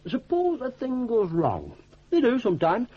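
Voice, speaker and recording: A man's voice: very high-pitched, wildly swooping pitch, normal speed, husky, exaggerated voice. An actor playing a cheerful, bumbling, self-deprecating idle young man in a radio drama, rambling artlessly in a Northern English accent. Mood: cheerfully self-deprecating. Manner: rambling, artless, chuckling, homely dialect. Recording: dull 1980s radio drama recording.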